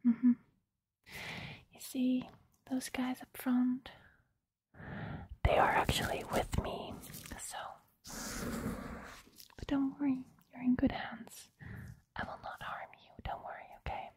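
Girl in her 20s doing asmr, super slow whispery voice, gentle and reassuring, right up on the mic. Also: high voice. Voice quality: breathy whisper. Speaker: ASMR roleplayer playing a surgeon. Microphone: close mic, speaking through a surgical mask.

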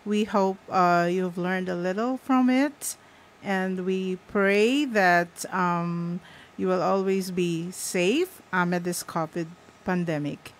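A woman with a Filipino accent hosting a radio program from a small studio, speaking softly and gently. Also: fairly low pitch, slow. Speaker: radio host. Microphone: studio microphone on a boom arm.

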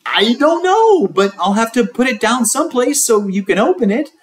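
A man's voice: very high voice, sing-song, moderate pace, voice pushed up. A man voicing a tired, strained man struggling under a heavy box in a storybook reading. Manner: strained, complaining.

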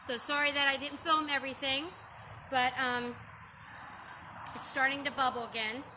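A middle-aged woman with a high, sharply bright voice talks homely and chatty, stepping through how she cooks over an open fire. She fills pauses with ums as she explains. She is recorded outdoors on a yard by a camera a few meters off, with faint noise behind. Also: steady tone, rough recording. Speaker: host demonstrating open-fire cooking. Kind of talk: casual outdoor cooking demonstration. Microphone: camera on a stand a few meters away.

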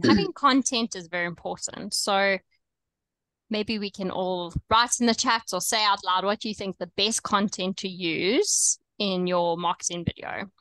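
Woman, South African accent, young adult, relaxed chatty webinar coach, dry close sound. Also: medium pitch, no room sound, poor audio. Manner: relaxed, chatty, frequent ums and light laughs. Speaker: online teaching coach. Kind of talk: webinar presentation. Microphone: video call.

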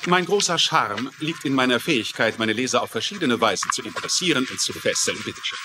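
An actor playing an arrogant literary author, pompous and dismissive, in a German-dubbed mystery drama. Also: male voice, medium pitch, expressive, brisk, close to an everyday voice. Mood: condescending. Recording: clear background ambience noise.

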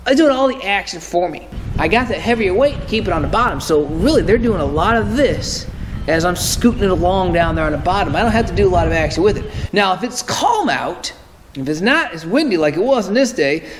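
Thirties guy hosting a fishing vid, kinda high voice, chatty how-to talk, bit of background hiss, talking close to the cam indoors. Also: lively intonation, brisk. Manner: casual, explanatory, corrects himself mid-sentence. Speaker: fishing show host. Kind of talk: instructional fishing talk to camera. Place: indoor tackle room with lure-covered wall.

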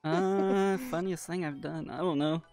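A streamer chatting casually and reading viewer questions aloud, then answering offhandedly in a halting way. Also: mid-range voice, fairly even intonation, a little mellow. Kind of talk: casual livestream chat, answering viewer comments. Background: background music.

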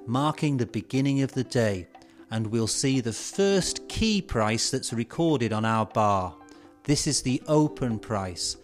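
A middle-aged man presenting scripted instructional narration in a measured, explanatory way, posing rhetorical questions. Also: mid-range voice. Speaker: presenter of an educational film. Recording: music bed behind studio voice. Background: instrumental music.

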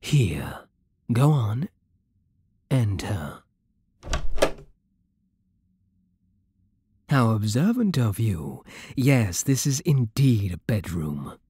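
Male actor as British vampire king for ASMR roleplay: haughty, seductive, menacing, very husky, with swooping intonation. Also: lowish voice, very animated, dry recording, exaggerated voice. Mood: coolly threatening. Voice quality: breathy, soft. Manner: slow, menacing, haughty purring.

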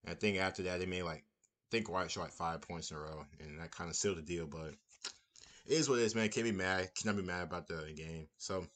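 A young man with a low, deep voice gives a relaxed post-game reaction. He rambles and circles back to the same phrases. He is recorded on a webcam in a small room. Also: fast. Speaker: basketball fan commentator.

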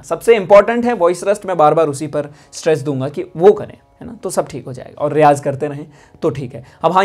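Young man, music teacher on camera, a touch high-pitched, keeps checking in, faint music underneath. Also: a little mellow. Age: young adult. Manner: explanatory, keeps asking "है ना?". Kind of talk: vocal care lesson to camera. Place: indoor studio with instruments.

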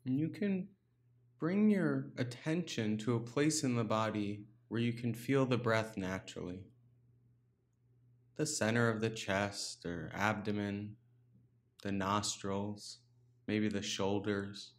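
Male meditation guide, lower register, calm instructional delivery, takes time between short phrases. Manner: calm, unhurried instruction with pauses. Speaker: meditation teacher.

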